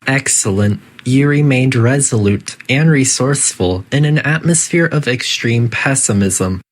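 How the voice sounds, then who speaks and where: Casting: performer to play game AI announcer, medium pitch, courteous but coldly menacing, deadpan, formal, measured delivery. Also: a little hiss or hum, natural voice. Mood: detached praise. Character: polite, coolly menacing test-facility AI announcer. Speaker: facility announcer guiding test subjects.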